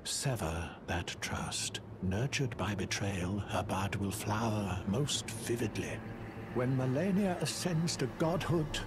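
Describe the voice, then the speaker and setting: Creepy fawning schemer from a video game, lowish voice, slow and oily polite, noisy background. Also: male voice, animated. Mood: sinister pleading. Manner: obsequious, theatrical, hesitant politeness. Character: fawning, unctuous scheming male scholar with sinister devotion. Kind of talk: video game character acting.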